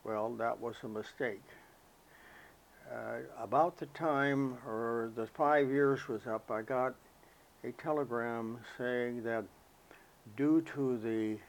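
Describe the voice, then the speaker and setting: An elderly American man recalling his life as a veteran in an interview, speaking in a halting, reflective, unhurried way. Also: medium pitch, a little hiss or hum, low-quality recording. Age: elderly, 80s or older. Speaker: interviewee, war veteran recalling military service. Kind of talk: oral history interview, personal recollection.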